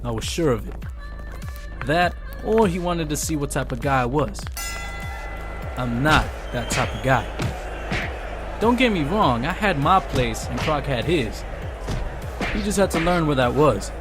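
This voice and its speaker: A man's voice: a little high, animated, a little slow, pauses often, music in the background. An actor playing a wary, ambitious henchman in a motion comic, speaking in a plain, confiding, hard-boiled noir manner. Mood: reflective. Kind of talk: motion comic narration and dialogue.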